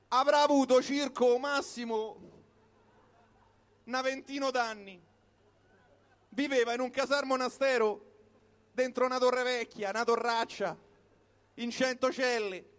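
A very high-pitched male voice with a Roman accent recites a comic monologue. He delivers it like live storytelling, in short colloquial phrases with pauses between them. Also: fairly bright tone. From Rome, Italy. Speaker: comic performer reciting his own piece.

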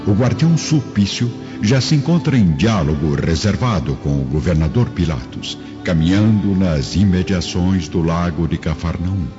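Very deep dramatic male narrator. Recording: dull lo-fi audio under loud music.

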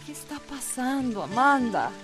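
An actress with a Costa Rican accent playing a bossy but caring woman friend in a radio soap, expressive and insistent. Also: high-pitched, a little slow, music in the background. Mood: concerned, insistent. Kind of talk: radio soap opera acting.

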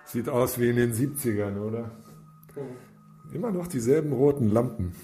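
An actor playing a businesslike detective in charge, low-key and questioning, in a radio drama. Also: male voice, normal speed, dark tone, lightly acted. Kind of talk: naturalistic radio drama dialogue. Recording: echoey room with faint music.

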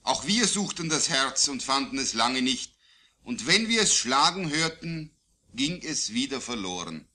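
A man reciting his own poetry solemnly, in a declamatory, deliberate way with phrases falling at the line endings. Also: medium pitch, very animated, pushed and bright. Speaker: poet. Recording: digitized vintage vinyl record.

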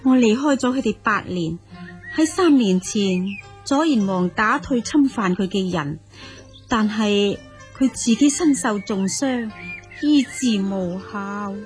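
A woman's voice: mid-range voice, expressive, takes it slowly, faint music behind. A woman acting in a Cantonese audio drama as a humble, sorrowful noblewoman of ancient China, sighing and speaking with formal courtesy. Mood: wistful, grieving.

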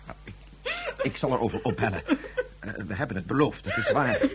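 A man playing an evasive, flustered father in a radio drama, speaking hesitantly and placatingly in a husky voice. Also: mid-range voice, wildly swooping pitch, brisk, noisy background. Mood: hesitant, apologetic. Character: evasive, flustered father absorbed in his work.

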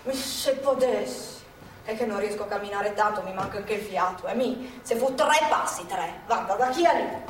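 A woman's voice: medium pitch, moderate pace, far from the mic, background noise, natural voice. Woman doing an old milanese lady, out of breath, earthy and blunt, heard from across a roomy stage. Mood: rueful. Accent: Milanese dialect. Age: older. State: short of breath. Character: elderly Milanese woman, former partisan, breathless and feisty. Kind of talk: stage monologue. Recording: echoey stage from afar.

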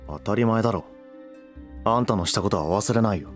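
An actor playing a guarded, proud young heir in a Japanese voice drama, with a curt, blunt, defensive way of talking. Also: male voice, lowish voice, fairly quick, soft background music, lightly acted. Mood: resentful.

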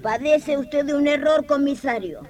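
Society hostess female actor, high voice, formal theatrical delivery, easily affronted, vintage radio play. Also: normal speed, darkish tone, natural voice. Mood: indignant. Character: dignified, indignant high-society hostess, a madame. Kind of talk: old radio drama acting.

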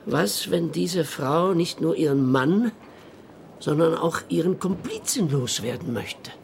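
An actor playing an anxious, timid middle-aged father in a radio play, speaking in a worried, earnest way with a creaky voice. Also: fairly high pitch, expressive, slow pace, a little hiss or hum, heavily acted. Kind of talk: detective radio play acting.

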